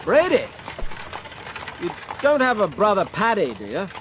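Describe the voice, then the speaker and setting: A well-bred young English lord in a radio play. His upper-class voice sits fairly high and moves freely, earnest yet reserved. There is faint noise behind him. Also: huge pitch swings, unhurried, a bit bright, exaggerated voice. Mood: curious, brisk. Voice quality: posh. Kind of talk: radio drama acting.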